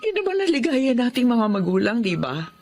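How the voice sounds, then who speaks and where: A woman's voice: fairly high pitch, very animated, fairly quick, a little mellow, faint music behind. A woman playing a worried, long-suffering older mother in a drama, plaintive and earnest as she speaks to and about her grown children. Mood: sad, weary. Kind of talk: Filipino radio-style drama acting.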